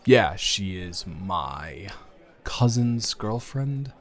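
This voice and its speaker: A man plays a smitten, jealous-hearted guy in an improvised romance audio. His voice sits fairly low and moves very slowly, halting and awkwardly playful as he teases. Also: big pitch swings, exaggerated voice. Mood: casual, joking. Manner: halting, hesitant, teasing.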